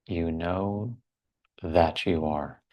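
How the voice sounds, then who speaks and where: A man leading a guided meditation in a measured, deliberate way, leaving long pauses between short phrases. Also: deep voice, a little mellow.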